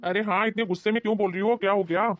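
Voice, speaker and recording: An actor playing a placating, exasperated husband who avoids conflict in an animated family story. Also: male voice, high voice, racing, no echo, muffled recording, natural voice.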